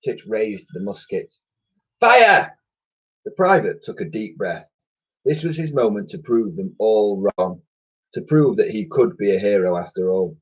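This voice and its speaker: A male teacher reading a children's book aloud, lively and expressive. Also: on the low side, moderate pace, husky, lo-fi sound.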